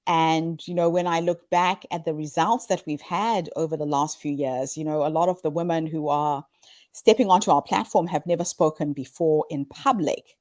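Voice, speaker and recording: A woman in her fifties from Zimbabwe speaks with a lowish voice, earnest and upbeat as she answers interview questions. The sound is dry and close, with no room around it. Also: normal speed, no echo. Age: 50s. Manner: earnest, upbeat storytelling with warm laughs. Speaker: guest, founder of a women's story platform.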